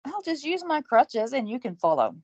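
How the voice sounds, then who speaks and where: A woman's voice: high voice, wildly swooping pitch, normal speed, slightly rough, no room sound, lo-fi sound, exaggerated voice. A woman playing a dry-witted, independent young woman who is on crutches. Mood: wry. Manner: dry and wry.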